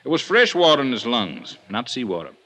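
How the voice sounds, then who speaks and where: An actor playing a middle-aged veteran police detective with an American accent, dry and folksy in 1950s radio drama style. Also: male voice, mid-range voice, sing-song, brisk, bright, forceful tone, poor audio. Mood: puzzled. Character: gruff, wry, baffled veteran male police detective.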